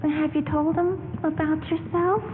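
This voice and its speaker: A gentle, motherly older aunt in a 1940s radio play. Her high voice is full of concern, ringing in a hall through a thin broadcast sound. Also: steady tone, measured pace. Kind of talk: 1940s radio drama acting. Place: live stage in a town hall. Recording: tinny, noisy broadcast in reverberant hall.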